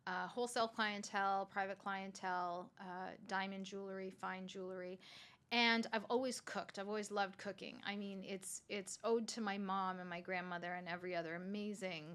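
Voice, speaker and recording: A woman in her forties, raised in Canada with a Calabrese dialect as her first language, chatting as a guest chef in an animated, laughing way. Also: mid-range voice, moderate pace, clear, resonant tone. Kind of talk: casual podcast interview. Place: hotel room.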